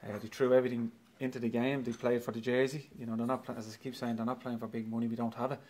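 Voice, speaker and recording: A man's voice: mid-range voice, little pitch movement, fast talker, darkish tone. An Irish football manager in his forties giving a matter-of-fact post-match interview in a clubhouse trophy room, talking into a handheld mic. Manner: matter-of-fact, repeats himself, frustrated but upbeat. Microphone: handheld mic held by interviewer.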